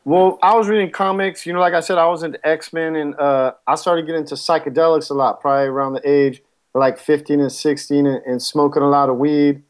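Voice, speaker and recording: A man in his forties with an East Coast American accent, speaking a bit higher than most men. He tells his own story as a guest in a relaxed, matter-of-fact and candid way. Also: a little fast. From California.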